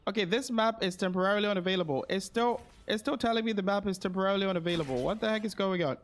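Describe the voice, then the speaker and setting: A man's voice: sits high, racing. A man chatting casually on a game livestream, thinking aloud and repeating his phrases as he goes. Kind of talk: casual livestream chat while gaming.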